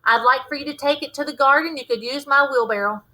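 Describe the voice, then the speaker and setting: A woman's voice: high-pitched, fast, bright, forceful tone, pitched above the performer's own voice, low-quality recording. A woman reading a storybook as a stern, worried Southern mother laying down the law. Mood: firm.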